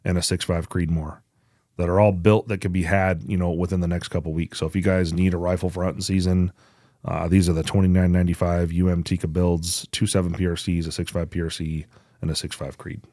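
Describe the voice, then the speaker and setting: Low-voiced man, casual podcast co-host. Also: flat delivery, brisk, warm tone, no echo, clean studio sound. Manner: informal, conversational. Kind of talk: casual podcast product update.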